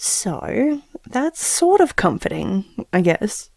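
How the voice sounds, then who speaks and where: Slow-talking, confiding expectant mother. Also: medium pitch, very animated, a little mellow, no room sound, studio quality, exaggerated voice. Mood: reflective. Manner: confiding, reflective. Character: anxious, tender expectant mother confiding in her baby. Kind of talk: intimate audio drama monologue.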